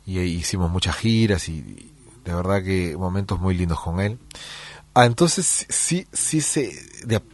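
A middle-aged man from Peru, a musician giving interview answers in a relaxed storytelling way, with many fillers and restarts. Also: low voice, a little slow. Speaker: musician guest.

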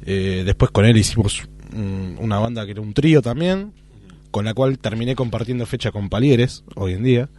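A man's voice: on the low side, normal speed, a little mellow. An Argentine man in his thirties, a guest casually telling anecdotes in a conversational way. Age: 30s. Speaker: guitarist guest.

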